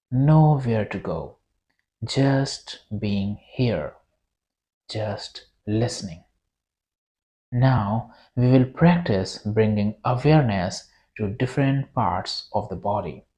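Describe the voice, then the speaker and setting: A meditation guide leading a yoga nidra relaxation in a soothing, deliberate way, with long pauses between short phrases. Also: medium pitch, warm tone.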